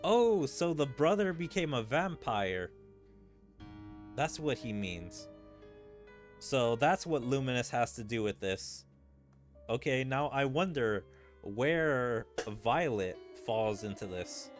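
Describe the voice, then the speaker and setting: A man doing casual game commentary as a streamer, rambling and thinking out loud. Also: medium pitch, animated, slow, soft background music. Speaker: streamer playing through a game. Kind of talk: casual game commentary, reading dialogue aloud.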